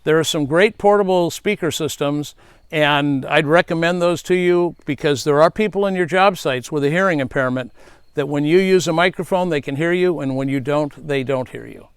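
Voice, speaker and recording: A man's voice: a little high, moderate pace, clean tone, dry recording, clean studio sound. A middle-aged man, a safety speaker, giving earnest advice and telling stories from his past. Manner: earnest, advising, storytelling. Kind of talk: speaking tip to camera.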